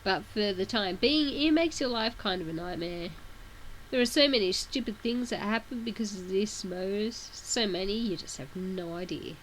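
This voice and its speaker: A woman with a sore throat reads a webcomic aloud at a middling pitch, dropping in chatty asides between passages. A faint hiss sits under her voice on a home mic. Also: moderate pace, poor audio. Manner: casual, chatty asides between read text. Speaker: reader of a webcomic.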